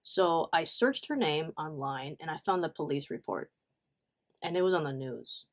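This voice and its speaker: A woman giving a casual, confessional storytime as a vlogger, animated and emphatic, slangy, acting out what people said. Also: low-pitched, moderate pace. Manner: animated, emphatic, acts out reported dialogue; informal slangy talk with swearing. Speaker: vlogger telling a personal story.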